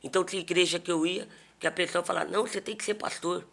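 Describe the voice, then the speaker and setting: A man's voice: sits high, fairly even intonation, very fast, creaky, a bit bright. A man with a Brazilian accent telling personal stories in a casual podcast conversation as a pastor. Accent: Brazilian Portuguese.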